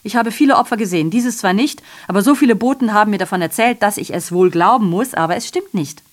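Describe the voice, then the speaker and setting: A woman's voice: mid-range voice, fast talker, natural voice. An actress playing a sardonic, aggrieved female messenger in a radio drama, delivering a digressive monologue full of rhetorical questions and self-corrections. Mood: indignant, sardonic.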